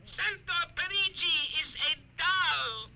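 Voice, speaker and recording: An actor in a 1950s radio drama playing a tiny, sinister alien doll commander who speaks formally and imperiously in a squeaky voice. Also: animated, slow, vocal fry, sharp tone, heavily acted. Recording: noisy vintage 1950s radio recording.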